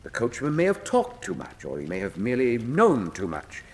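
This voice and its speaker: In a long, hall-like reverb, a Victorian gentleman detective with a British accent. He is precise, dry and condescending, pitched in a middle male register with theatrical radio drama poise. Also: wildly swooping pitch, moderate pace. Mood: wry, assured. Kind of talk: full-cast radio drama acting.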